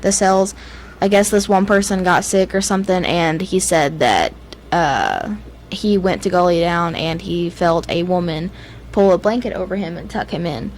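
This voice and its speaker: A woman's voice: on the low side, lively intonation, normal speed. Southern woman chatting, slightly noisy recording. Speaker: podcast co-host. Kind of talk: casual ghost-story chat.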